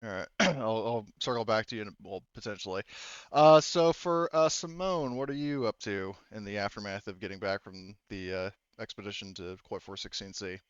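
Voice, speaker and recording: A man acting as game master of a tabletop roleplaying game, improvising narration and questioning players in a halting, rephrasing way. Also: on the low side, smooth, no echo, highs cut off.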